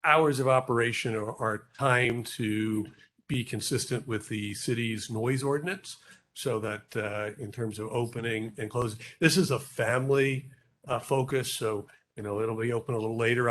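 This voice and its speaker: Project representative answering council questions, mid-pitched, halting and explanatory. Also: male voice, steady tone, fairly bright tone. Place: council chamber.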